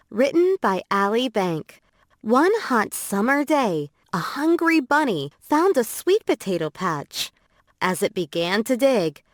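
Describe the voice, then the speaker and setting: A woman's voice: fairly high pitch, no room sound, clean studio sound. A woman reading scripted children's stories for English learners as a storyteller.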